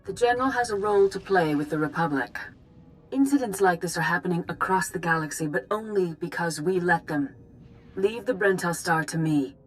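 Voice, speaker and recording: An actress playing a calm, authoritative Jedi grand master in a video game, grave and formal. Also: female voice, fairly low pitch, brisk, soft background music, close to an everyday voice, low-quality recording. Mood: warning.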